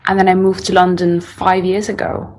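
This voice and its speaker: A woman's voice: on the low side, monotone, moderate pace, a little hiss or hum. A young woman from Italy, a singer-songwriter, speaking English with an Italian accent in a casual interview, thoughtful and often restarting her sentences.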